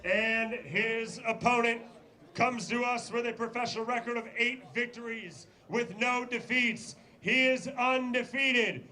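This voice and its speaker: A man's voice: very high-pitched, fairly even intonation, sharp tone. A man making formal boxing weigh-in announcements as ring announcer, showily stretching out the names. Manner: showy, drawn-out names.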